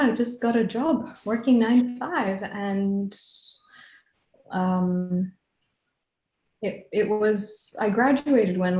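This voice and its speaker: A woman's voice: mid-range voice, roomy sound, rough recording. A woman from Kenya in her late thirties, a hypnotherapist chatting casually as an interview guest, laughing easily.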